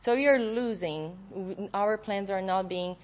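A young adult woman, a graduate student, giving an academic conference presentation in a loud voice in a lecture room. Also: medium pitch, unhurried. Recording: dull lo-fi recording.

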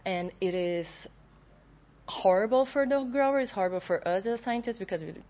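A young adult woman, a graduate student, giving an academic conference presentation in a loud voice in a lecture room. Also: medium pitch, lively intonation, moderate pace. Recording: dull lo-fi recording.

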